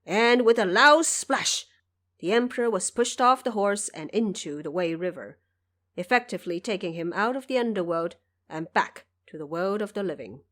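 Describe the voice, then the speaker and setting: A woman giving scripted storytelling narration for an audio drama, with expressive, careful phrasing. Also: mid-range voice, moderate pace.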